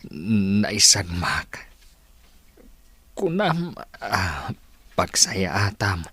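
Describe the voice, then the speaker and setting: Casting: stern older father, low forceful voice, drawling, radio drama. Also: sing-song, drawn-out words, husky, no echo, exaggerated voice. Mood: reproving. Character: stern older father, disapproving of daughter's old love. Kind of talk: Ilocano radio drama acting.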